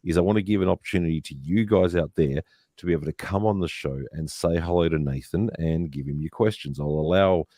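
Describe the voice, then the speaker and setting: Low-voiced mellow male stream host. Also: a little fast, dry recording. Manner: chatty, says "mate" and "uh". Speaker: livestream host. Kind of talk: hosting a live stream.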